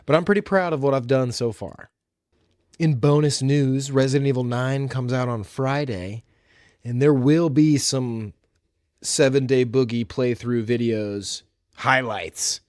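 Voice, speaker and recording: A man's voice: medium pitch, unhurried, a little mellow, no room sound, studio quality. A man hosting a solo podcast, chatting casually and informally about films and games in slangy, hesitant phrases. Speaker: podcast host.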